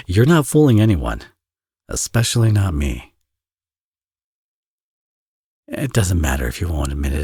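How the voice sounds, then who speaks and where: Male actor as smug villain dad, very husky breathy voice, swooping intonation, playful sarcasm, intimate ASMR roleplay. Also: deep voice, darkish tone, no room sound, studio quality, heavily acted. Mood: smug teasing. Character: teasing, flirtatious villain, doting father and former lover.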